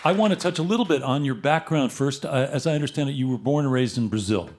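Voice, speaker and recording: A man's voice: mid-range voice, fast talker, noisy background. Male live radio host and interviewer, conversational, mid-range voice, audience audible behind him. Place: live show venue on stage. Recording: live stage with audience crowd.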